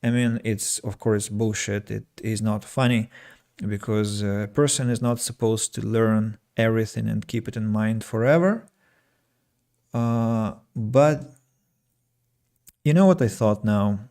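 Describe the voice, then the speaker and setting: A man, his voice gravelly with vocal fry, talking alone on his podcast. He reflects on listeners' comments as an English teacher, with plenty of fillers and false starts. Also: lowish voice, fairly even intonation, measured pace, darkish tone. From Russia. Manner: reflective, reads listener comments aloud, frequent fillers and restarts. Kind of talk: solo podcast talk.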